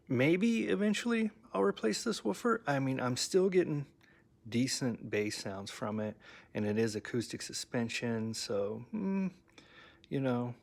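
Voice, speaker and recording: A man with a fairly low voice walks through his DIY speaker project in an easygoing, rambling way. He trips over his words, restarts sentences, and fills pauses with ums as he explains. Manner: rambling, self-correcting, with frequent ums and stammered restarts. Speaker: DIY audio hobbyist showing his speaker build. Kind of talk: casual workshop show-and-tell.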